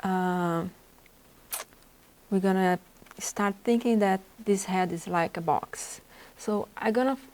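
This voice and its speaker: A woman's voice: medium pitch, slow, long pauses, darkish tone, rough recording. A woman with a Brazilian Portuguese accent, a native Portuguese speaker, teaching a portrait drawing lesson and thinking aloud as she sketches. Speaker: art instructor. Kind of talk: drawing demonstration.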